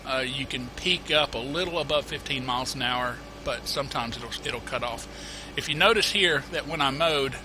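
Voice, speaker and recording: A man's voice: medium pitch, normal speed, hardly any pauses. Guy with a cold doing a chill farming game walkthrough, lots of uh's, noisy mic hiss. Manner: rambling, self-correcting, many uh fillers. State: has a cold, sniffling. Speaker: gameplay tutorial host. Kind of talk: casual let's-play commentary.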